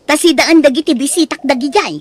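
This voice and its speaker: A high-pitched, haughty young wife, acted by a woman for radio drama. She scolds and orders her in-laws around with scorn, in a Filipino accent. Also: a little fast, no room sound, lightly acted. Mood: scornful, irritated. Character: bossy, scornful gambling daughter-in-law ruling her household.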